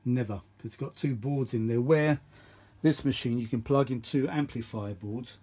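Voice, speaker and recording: A man's voice: medium pitch, normal speed, warm tone, slightly rough. A middle-aged man chatting casually and unscripted as host of a vintage audio video, rambling and thinking aloud, often repeating himself. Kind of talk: casual unscripted hands-on chat.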